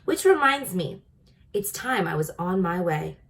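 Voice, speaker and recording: A woman's voice: fairly low pitch, very animated, measured pace, fairly bright tone, heavily acted. A woman voicing a tiny male mouse traveler from a storybook, polite, jaunty and wistful, with slightly formal speech. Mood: breezy, wistful.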